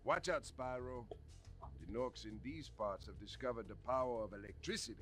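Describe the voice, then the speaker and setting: A man's voice: mid-range voice, animated, normal speed, a crowd in the background, close to an everyday voice. A man voicing a friendly male dragon elder in a video game, giving encouraging warnings. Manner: encouraging, announcing dangers ahead. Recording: game audio over music.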